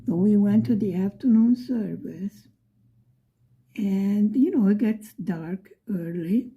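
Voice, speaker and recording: A middle-aged woman from Buffalo, New York, telling a story in a soft, often whispery voice. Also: mid-range voice, takes it slowly, narrow, tinny audio. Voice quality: frequently whispery, breathy delivery. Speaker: vlogger.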